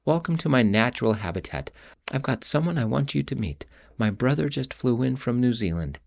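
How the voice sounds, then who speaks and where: A man's voice: medium pitch, expressive, brisk, creaky. A man voicing an outgoing young college student who talks in a playful, upbeat way.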